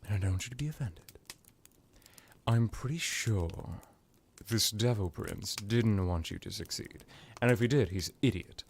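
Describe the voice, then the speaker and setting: A man playing a smug, powerful vampire lord in an ASMR roleplay, talking in a halting, boastful, condescending and mock-polite way. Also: lowish voice, slightly rough. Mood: smug, suspicious.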